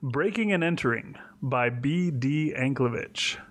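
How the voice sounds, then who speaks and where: A man's voice: mid-range voice, expressive, unhurried. A man narrating a scripted short story in a suspenseful storytelling manner. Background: music.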